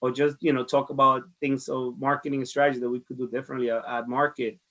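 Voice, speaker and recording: Male market manager, Dominican accent, earnest interviewee, mid-pitched voice, conversational delivery. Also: little pitch movement, fast, a bit bright. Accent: Dominican Spanish. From Dominican Republic. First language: Spanish. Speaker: farmers market director being interviewed.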